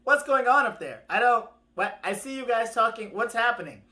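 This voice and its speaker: Woman acting out a sly, animated tortoise trickster. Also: a little high, normal speed, voice pushed up. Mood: curious, pleading. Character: sly, pushy male tortoise trickster from a folktale. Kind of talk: animated oral storytelling.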